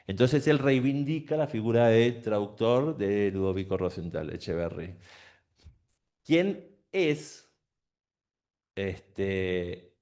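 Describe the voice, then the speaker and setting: A man's voice: medium pitch, darkish tone. A man speaking on a panel, explaining emphatically and rising into indignant bursts. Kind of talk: lecture talk on a panel.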